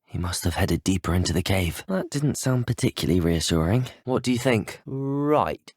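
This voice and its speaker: Courteous young British student wizard, very animated. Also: male voice, low voice, normal speed, breathy edge, no echo, studio quality, exaggerated voice. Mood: grave, wary. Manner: courteous, well-spoken. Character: polite, earnest, inquisitive teenage male student wizard. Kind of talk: scripted video game dialogue voice lines.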